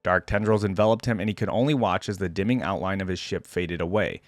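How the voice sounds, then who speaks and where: A man's voice: low voice, fairly even intonation, quick pace, no echo, studio quality. A man hosting a reaction video, mixing casual, informal commentary with lore read aloud. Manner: informal, conversational asides. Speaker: YouTube reaction host.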